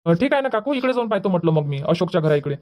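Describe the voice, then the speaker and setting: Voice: mid-range voice, big pitch swings, racing, darkish tone, lightly acted. A performer voicing a polite, apologetic young village man, who hesitates and says "uh" before he explains. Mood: agreeable. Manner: apologetic, hesitant, starts lines with "uh".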